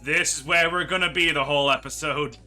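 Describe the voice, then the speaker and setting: Animated male gaming host, higher-pitched and very bright, exclamatory, faint music underneath. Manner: animated, exclamatory, drawn-out words for emphasis. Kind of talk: playthrough commentary, reading game dialogue aloud. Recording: music behind the voice. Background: game music.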